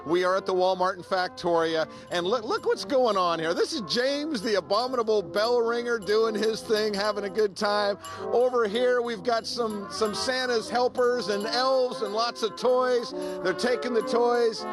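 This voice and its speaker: A man's voice: sits high, moderate pace. A man reporting live on location for TV news in an enthusiastic, ad-libbed way. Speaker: TV field reporter. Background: live brass band music.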